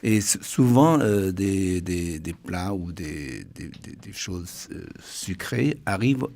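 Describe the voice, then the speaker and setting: Chinese-accented man, halting speech. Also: sits low, animated, vocal fry, darkish tone. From China. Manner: halting, many restarts and fillers. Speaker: guest author. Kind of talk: radio interview.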